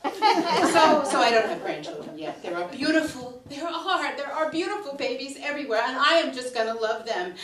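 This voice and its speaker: A sixty-five-year-old woman, delivering a theatrical comic monologue on stage in a dramatic, exasperated, halting manner. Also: sits high, sing-song, crowd noise. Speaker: storyteller performing a comic monologue. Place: small indoor stage before an audience. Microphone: microphone on a stand. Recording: roomy live stage, distant, audience laughing. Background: audience laughter.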